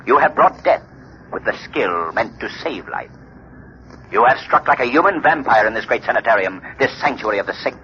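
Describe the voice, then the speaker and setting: An actor playing a menacing invisible crime-fighting avenger in an ominous, declamatory 1930s radio drama style. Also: male voice, medium pitch, lively intonation, fairly quick, bright, forceful tone, a little hiss or hum. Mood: grave menace. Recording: muffled 1930s radio, music behind.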